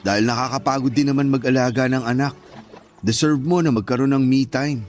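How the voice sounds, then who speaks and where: An actor playing a gentle, flattering and sympathetic male friend with a Filipino accent, in conversational radio drama style. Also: mid-range voice, brisk, close to an everyday voice. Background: background music.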